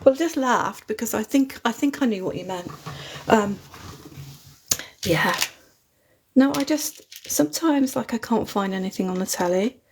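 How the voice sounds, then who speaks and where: A woman's voice: fairly high pitch, animated, moderate pace, pauses often, slight background noise. A woman with a British accent, not a London one, chatting casually and rambling as she hosts a live crafting stream at her desk. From Britain. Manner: chatty, rambling, chuckles often. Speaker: craft livestream host. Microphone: phone mounted overhead.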